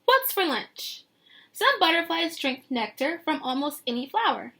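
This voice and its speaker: A woman teacher reading a picture book aloud to young children, expressive and sing-song, pausing to put questions to them. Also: high-pitched. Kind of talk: read-aloud lesson with questions to young listeners.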